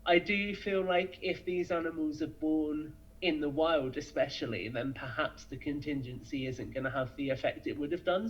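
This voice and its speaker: A man speaking as a podcast guest in casual fan discussion, earnest and eager as he speculates, on a dull, lo-fi recording. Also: on the high side.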